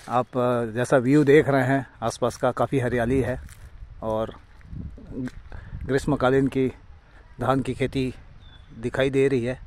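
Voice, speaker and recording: A man narrating as a moto vlogger while riding a motorcycle on a rural road, in a gentle, descriptive way with small pauses. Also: medium pitch.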